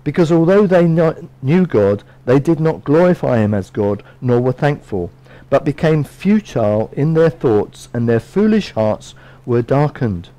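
Mellow-voiced man preaching a sermon. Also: medium pitch, expressive, poor audio. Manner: measured, earnest, reads verses aloud from a book. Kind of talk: sermon with scripture readings. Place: small bright room with a lectern.

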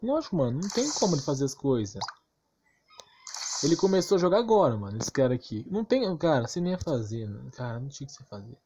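A man's voice: medium pitch, very animated, fast, background noise. A man with a Brazilian accent giving a casual, ranting game commentary, slangy and crude, emphatic and repetitive with rhetorical questions. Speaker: gaming YouTuber.

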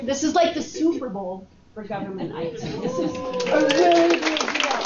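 A woman's voice: fairly high pitch, slight background noise. A woman hosting a workplace communications workshop, chatty and upbeat, recorded from across a meeting room. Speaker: workshop host. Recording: far-off roomy lo-fi meeting recording.

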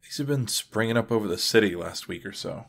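Man playing a low-voiced, deadpan superhero archer. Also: fairly quick, creaky, heavily acted. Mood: explaining, dry. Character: weary, wry male superhero archer. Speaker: voice actor narrating and playing lead. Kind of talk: motion comic voice acting.

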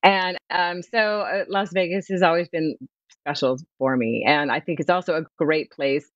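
A middle-aged woman, a swimwear brand founder, chatting lively and laughing easily as a guest over a remote video call. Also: sits low, moderate pace, no echo. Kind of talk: promotional interview chat. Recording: dull, dry video call audio.

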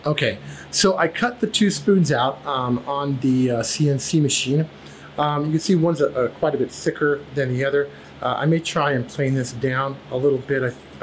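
A man's voice: medium pitch, slight background noise, low-quality recording. A man in his thirties hosting a casual woodworking vlog in his home shop, talking with many fillers and restarts, recorded by a camera a few feet away. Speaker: woodworking channel host.

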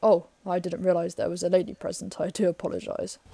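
A woman playing a flustered, polite British gentleman in his thirties in a radio play, stammering and apologetic. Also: on the low side, little pitch movement, fast, creaky, husky, no echo. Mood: indignant. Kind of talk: 1930s-set radio play acting.

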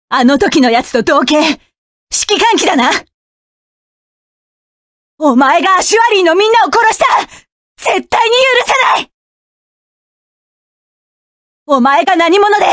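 A woman's voice: sits high, no echo, clean studio sound. An actress voicing a fierce, cold young female mecha pilot in a game, giving curt, commanding battle lines. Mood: furious. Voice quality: sharp, commanding.

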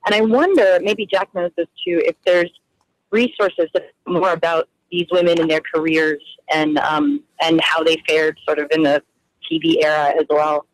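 A man's voice: a little high, steady tone, moderate pace, no echo, poor audio. A man calling into a radio show, asking an eager, enthusiastic question. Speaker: caller.

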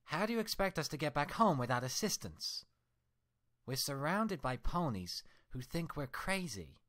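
A man's voice: a little high, big pitch swings, moderate pace, a bit bright, voice pushed up. A man voicing a furious young female unicorn scholar who scolds indignantly. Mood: exasperated.

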